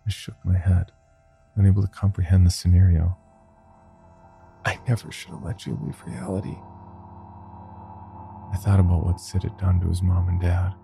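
A man reading a scripted first-person horror story in a slow, deliberate, somber way. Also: deep voice, flat delivery, gravelly, over music.

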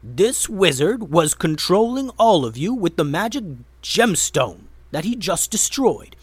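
A man's voice: high voice, sing-song, a little slow, slightly rough, no room sound, pitched above the performer's own voice, heavily acted. A man voicing a stealthy male adventurer who explains things in an urgent, raised voice.

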